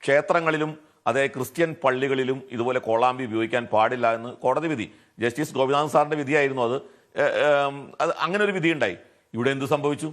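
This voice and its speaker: A man's voice: mid-range voice, brisk, fairly bright tone. A man with a Malayali accent arguing his case as a television debate panelist, often posing rhetorical questions. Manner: argumentative, poses rhetorical questions.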